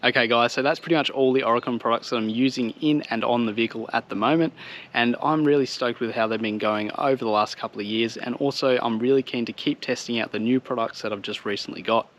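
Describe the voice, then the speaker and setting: A man's voice: mid-range voice, fast talker, fairly bright tone. A man in his thirties with an Australian accent, giving a casual, explanatory product review to his viewers outdoors. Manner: informal explanatory walk-through, addressing viewers. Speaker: vlogger reviewing vehicle gear. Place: outdoors on a home driveway by a vehicle.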